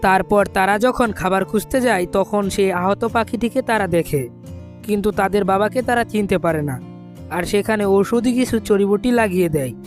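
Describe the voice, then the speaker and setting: A woman's voice: medium pitch, normal speed, faint music behind. A woman narrating a scripted children's cartoon story as the storyteller.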